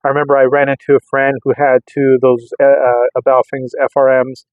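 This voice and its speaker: A man casually rambling through a hobby product test in a hesitant, halting way, often repeating words. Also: medium pitch, flat delivery, no echo. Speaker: radio hobbyist vlogger. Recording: narrow, phone-like, lo-fi sound.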